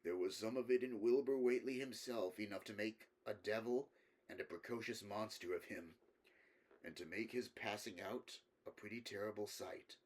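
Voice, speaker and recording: A man's voice: lowish voice, normal speed, a little mellow, close to an everyday voice. A man voicing a grave, learned figure who speaks carefully and solemnly in a horror story reading. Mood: grave, measured.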